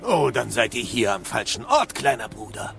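An actor playing an ancient, boastful know-it-all captive in an audio drama, eloquent and sardonic. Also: male voice, medium pitch, very animated, normal speed, creaky, a bit bright, soft background music. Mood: boastful, explanatory.

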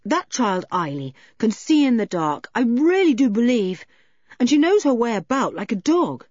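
Woman as a firm, husky, sensible boy leader. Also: fairly high pitch, sing-song, moderate pace, a little mellow, no room sound, muffled recording, pitched above the performer's own voice. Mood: reassuring. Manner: firm, authoritative.

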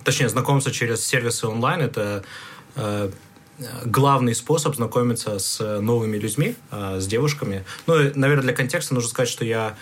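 A man in his late twenties from Kyrgyzstan, speaking casually and haltingly as a podcast guest. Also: mid-range voice. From Bishkek, Kyrgyzstan. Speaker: podcast guest, digital product developer. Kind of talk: casual conversation.

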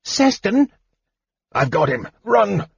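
A man's voice: medium pitch, very animated, a little slow, husky, dry recording, lo-fi sound, heavily acted. A man voicing an eccentric, befuddled old wizard in a fantasy audiobook, gasping and indignant by turns. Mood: frantic. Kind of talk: dramatized audiobook fantasy narration.

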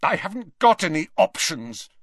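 Brief: man playing a frightened, sneering schoolboy wizard in a storybook reading, high, loud, voice cracking. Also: big pitch swings, normal speed, no echo, studio quality. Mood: desperate. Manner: harsh, shaky, rising to shouts. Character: desperate sneering teenage boy wizard.

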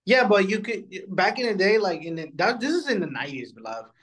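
A man's voice: sits high, very animated, fairly quick, a bit bright. A man co-hosting a podcast, giving his reactions in loose, casual conversation. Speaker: podcast co-host.

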